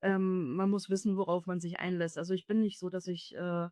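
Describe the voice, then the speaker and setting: A woman's voice: fairly low pitch, flat delivery, moderate pace, a little mellow. A woman giving spontaneous, thoughtful and somewhat hesitant answers in an interview. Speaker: interviewee, journalist.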